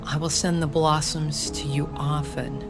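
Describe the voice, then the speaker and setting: A woman playing a tender, affectionate mother in an audio drama, speaking gently. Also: low-pitched, level tone, unhurried, music in the background.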